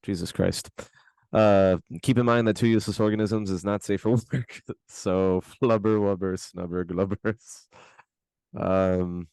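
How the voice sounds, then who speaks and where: A man co-hosting a podcast, his voice hoarse from screaming, chatting in a laid-back, slangy, rambling way from his bedroom at home. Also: sits low, steady tone, a little slow, darkish tone, dry recording. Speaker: podcast co-host. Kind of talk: casual podcast chat.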